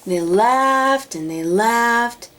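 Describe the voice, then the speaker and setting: A woman reading a children's storybook aloud, narrating in a dramatic, deliberate way. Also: a little high, animated, a bit bright, slight background noise. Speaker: storybook reader.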